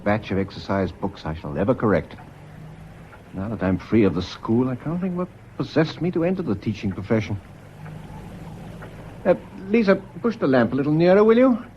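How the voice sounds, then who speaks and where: An actor playing a controlled, coaxing schoolteacher and secret lover in a radio drama, soothing yet bossy. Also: male voice, mid-range voice, sing-song, moderate pace, muffled recording, a little hiss or hum, close to an everyday voice. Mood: fussy, dry. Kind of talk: stage-style radio drama acting.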